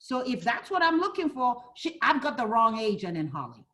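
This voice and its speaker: A woman's voice: fairly high pitch, very animated, a little fast, a bit bright. A woman from Ghana teaching a fair housing class for realtors, role-playing buyers and agents as she talks. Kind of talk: interactive training class.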